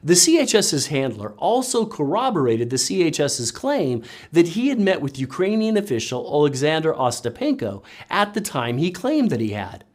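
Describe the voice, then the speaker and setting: Animated narrator with pointed emphasis. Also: medium pitch, normal speed, hardly any pauses. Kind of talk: scripted political commentary narration.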